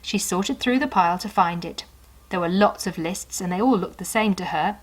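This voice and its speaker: Expressive woman reading a children's story. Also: lowish voice, husky, poor audio. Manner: expressive, conversational, unhurried. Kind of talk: children's story read aloud with asides to the listener.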